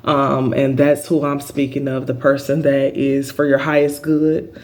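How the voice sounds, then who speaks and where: A middle-aged man hosting a spiritual healing channel, talking in an earnest, halting way with lots of filler ums and a big voice. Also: mid-range voice, monotone. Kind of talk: spiritual healing vlog monologue.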